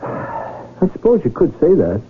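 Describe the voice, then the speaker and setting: A man's voice: fairly high pitch, huge pitch swings, a little slow, slightly rough, exaggerated voice. A man playing a meek, insecure rich man in a 1970s radio drama, hesitant and earnest, with a slight stammer in his delivery. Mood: timid, forlorn. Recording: tinny, noisy old radio broadcast recording.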